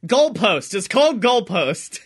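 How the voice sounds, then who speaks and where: A man telling animated anecdotes in casual, sweary podcast banter. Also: sits high, unhurried, breathy edge. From Alabama, US. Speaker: podcast host. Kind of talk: casual comedic podcast chat.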